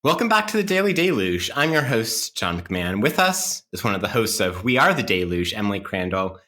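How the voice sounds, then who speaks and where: Animated, bright-voiced man chatting on a podcast. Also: on the low side, brisk. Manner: sweary, informal, peppered with "like" and "right?". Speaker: podcast host. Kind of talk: casual intellectual podcast chat.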